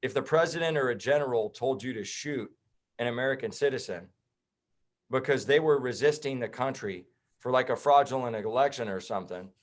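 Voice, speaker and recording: A man in his thirties talks on a podcast as a guest. He is blunt and heated, and his voice sits at an ordinary middle pitch. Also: a little fast. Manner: blunt, heated, swears and says bro. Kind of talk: casual podcast conversation.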